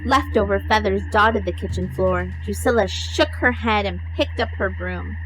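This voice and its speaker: A woman narrating a children's story as a read-aloud, with dramatic, deliberate emphasis. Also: mid-range voice, music in the background, poor audio. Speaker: storybook reader.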